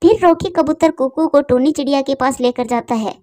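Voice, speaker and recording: A woman narrates a scripted cartoon story in a high voice. The recording is close and dry, with no room sound. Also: moderate pace, mellow, no room sound.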